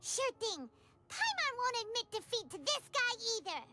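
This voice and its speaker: A woman voicing a small, excitable girlish fairy companion in a video game, with a squeaky childlike voice and expressive delivery. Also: normal speed, heavy background noise, full character voice. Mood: determined. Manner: expressive, refers to herself by name. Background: game music.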